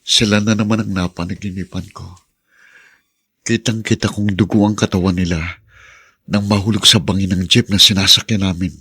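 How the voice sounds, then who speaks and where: A man's voice: sits low, fairly even intonation, moderate pace, pushed and bright, husky, heavily acted. A Filipino man acting an anguished son haunted by tragedy, in an emphatic radio drama delivery. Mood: haunted, grieving. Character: anguished grown son haunted by parents' fatal crash.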